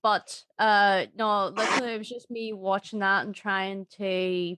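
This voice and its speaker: Woman chatting, drops her t's, mid pitch, very dry sound. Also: level tone, a little slow, sharp tone, smooth, no room sound, rough recording. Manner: informal, dropped Ts. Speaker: voice actor, podcast guest. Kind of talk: casual chat.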